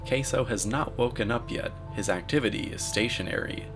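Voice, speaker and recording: A man delivering scripted commentary in a deadpan, mock-serious manner. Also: mid-range voice, a little fast. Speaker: narrator, video commentator. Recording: voice-over with music underneath. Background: music.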